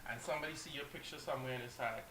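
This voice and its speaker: A man, a model guest on a talk show, telling animated anecdotes and acting out what people said. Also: mid-range voice, rapid-fire, reverberant, slight background noise, poor audio. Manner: animated anecdotes, acts out quotes, restarts. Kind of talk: casual storytelling.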